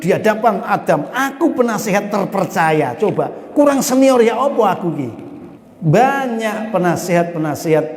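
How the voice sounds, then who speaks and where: A man with a Javanese accent preaching an Islamic study sermon, explaining Arabic verses phrase by phrase in a lively, storytelling way. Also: high-pitched. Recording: echoey hall recording.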